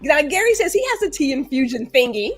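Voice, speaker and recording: A woman's voice: high voice, very animated, a little fast, faint music behind. A woman hosting a live stream, chatting casually, laughing often and reading viewer comments aloud. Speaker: livestream show host.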